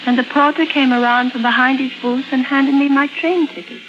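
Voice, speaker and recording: An actress playing an anguished woman patient with lost memory, in an intense, halting 1950s radio drama style. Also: high-pitched, steady tone, close to an everyday voice. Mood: brooding, recollecting. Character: anguished amnesiac woman patient who believes she murdered. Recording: muffled vintage radio recording with music.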